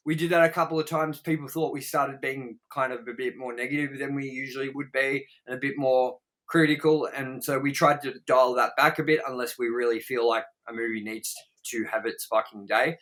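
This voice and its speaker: Blunt, bright-voiced man reviewing films. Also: mid-range voice. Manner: blunt, emphatic, sweary. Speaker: co-host of a movie review show. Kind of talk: casual chat, opinionated film review.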